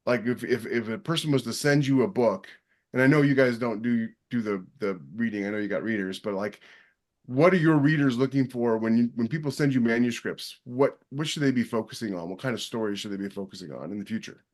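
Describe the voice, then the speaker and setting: A man's voice: medium pitch, brisk. A man speaking informally and emphatically as a podcast host, rephrasing his points as he goes in a casual interview discussion.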